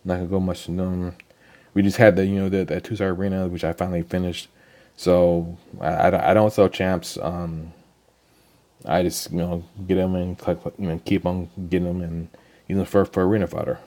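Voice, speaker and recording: Low, dark-voiced young man with a cold. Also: little pitch movement. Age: young adult. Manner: rambling, halting, many ums and repeated words. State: hoarse from a cold. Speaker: gaming channel host. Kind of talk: casual game account walkthrough.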